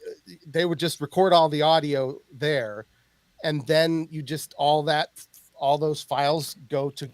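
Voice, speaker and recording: A man hosting a podcast, asking questions in a relaxed, casual way. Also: a little high, unhurried, dry recording. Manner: relaxed, many fillers. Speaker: podcast host. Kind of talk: interviewing, casual chat.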